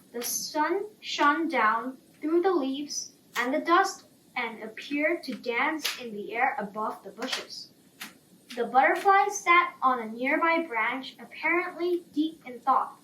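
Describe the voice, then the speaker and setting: A woman's voice: high-pitched, sharp tone. A woman narrating a children's bedtime story from a script. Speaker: storybook reader. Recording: roomy, distant, faint background noise.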